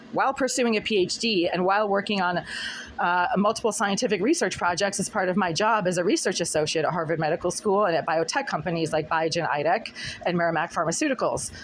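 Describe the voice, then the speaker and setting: A middle-aged woman with a scratchy, husky voice, talking insistently and repetitively to a phone camera in her apartment. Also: fairly low pitch. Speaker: vlogger. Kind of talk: monologue to camera. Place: apartment room.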